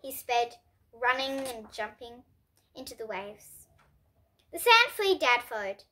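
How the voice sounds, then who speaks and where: A child reading a storybook aloud in an expressive, careful way, occasionally stumbling over words. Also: medium pitch, takes it slowly. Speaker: host of a children's book reading series.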